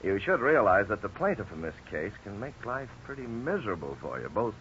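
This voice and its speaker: An actor playing a frank, courteous lawyer in a 1950s radio drama, speaking in a formal, measured, explanatory way. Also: male voice, lowish voice, very animated, fairly bright tone. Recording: dull, noisy 1950s radio recording.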